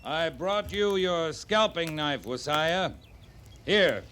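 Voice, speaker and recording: Cool-headed frontier army captain, slightly high male voice, clipped commands, noisy old radio western. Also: expressive, slow, a bit bright. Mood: firm, composed. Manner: clipped, commanding, dryly understated. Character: steady, authoritative frontier cavalry captain, calm under threat. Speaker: actor. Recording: noisy vintage radio drama recording.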